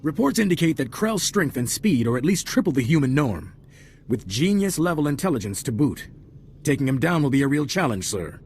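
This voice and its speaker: A dutiful soldier, sir-ing everything. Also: male voice, fairly high pitch, sing-song, brisk, darkish tone, slight background noise. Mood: earnest. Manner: formal, clipped, adds sir to every point. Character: dutiful male military corporal giving a briefing. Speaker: briefing officer. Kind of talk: video game cutscene voice acting.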